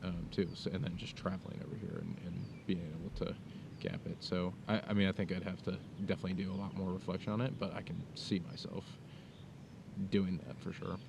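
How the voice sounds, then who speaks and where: A young man from the United States co-hosting a podcast, talking things through in a halting, thoughtful way with many fillers and restarts. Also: low-pitched, little pitch movement, vocal fry, noisy background. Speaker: podcast co-host. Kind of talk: casual conversation. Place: outdoors in the mountains.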